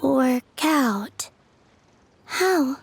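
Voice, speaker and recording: A woman playing a gentle, wistful young woman in an anime game, with a soft, breathy voice. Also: high voice, animated, very slow, noisy background, full character voice. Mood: trusting.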